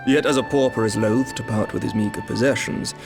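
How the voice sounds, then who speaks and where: A composed middle-aged knight commander from a fantasy game, voiced by a man, shrewd and diplomatic. His gravelly, mid-pitched voice moves in formal, measured, old-fashioned phrasing, over a clear orchestral score. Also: steady tone, over music, lightly acted. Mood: calm, diplomatic. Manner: formal, measured, archaic diction.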